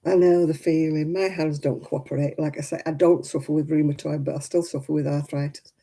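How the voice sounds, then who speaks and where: A woman in her seventies hosting a crafting livestream, chatting homely and warmly with viewers by name and laughing often. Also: sits low, steady tone, brisk, breathy edge. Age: 70s. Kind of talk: casual chat reading out viewer comments.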